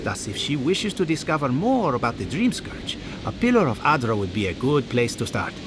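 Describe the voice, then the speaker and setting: Excitable, condescending scholar, wildly swooping pitch. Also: male voice, moderate pace, noisy background. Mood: lecturing. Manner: excitable, smug, mock-sympathetic. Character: eccentric giddy male scholar, condescending and morbidly curious. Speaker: game character, researcher. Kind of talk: theatrical video game acting.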